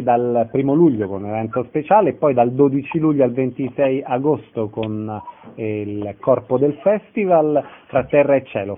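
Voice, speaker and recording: A man's voice: mid-range voice, phone-quality sound. A man hosting a radio interview, asking questions and summing up details. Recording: thin, band-limited radio sound.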